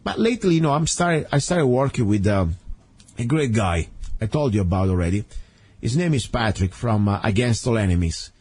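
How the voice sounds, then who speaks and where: A man's voice: on the low side, big pitch swings, fairly quick, darkish tone, breathy edge. A man from Italy with an Italian accent, hosting a talk radio show in an earnest, rambling monologue full of fillers and restarts. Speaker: radio host. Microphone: studio microphone.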